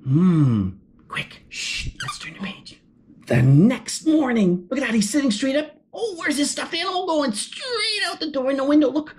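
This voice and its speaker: Lively, high-voiced woman reading to kids. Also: moderate pace, a little hiss or hum. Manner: gasping, exclaiming, asking the young listener questions. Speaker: children's story reader. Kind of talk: animated children's read-aloud.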